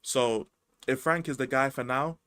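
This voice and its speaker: A man's voice: mid-range voice, fairly even intonation, unhurried, a bit bright, clear, resonant tone, no room sound. A man, chatting casually about football as a podcast host, slangy and rambling. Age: 20s.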